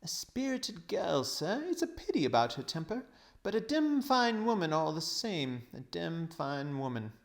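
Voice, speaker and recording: A storybook old gentleman in his sixties, pitched far above a normal man's voice. He is vain, greedy and excitable, with pompous, exclamatory delivery. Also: unhurried, creaky, full character voice. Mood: dreamy. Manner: gleeful, pompous, grandly exclamatory. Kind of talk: storybook read-aloud character voice.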